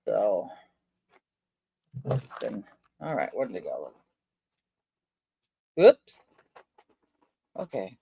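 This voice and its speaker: A middle-aged man with a soft voice, chatting casually while he unpacks and crafts. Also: lowish voice, huge pitch swings, extremely slow, gravelly, pauses often, breathy edge, no echo, low-quality recording. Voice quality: soft-spoken. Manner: rambling, chatty, many fillers and restarts. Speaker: vlogger unboxing and crafting.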